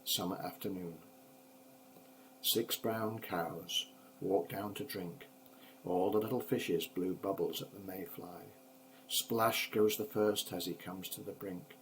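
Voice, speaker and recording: Older man, lowish pitch, storybook poetry reading, rhythmic and expressive, slight noise on amateur recording. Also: slow, rough recording. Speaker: grandfather reading poems aloud.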